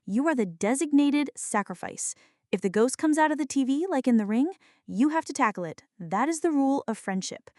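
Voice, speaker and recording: A woman's voice: sits high, lively intonation, fairly quick, darkish tone, dry recording, clean studio sound. A woman acting a jumpy, flirty, dramatic friend in an ASMR roleplay, talking to the listener in a teasing, mock-indignant way. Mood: playfully bossy.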